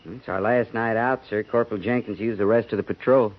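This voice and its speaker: A man's voice: on the low side, fairly even intonation, fairly quick, lightly acted. An actor playing a respectful cavalry sergeant who reports to his captain in a plain, deferential way, in 1950s radio drama style. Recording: muffled 1950s radio broadcast, faint hiss.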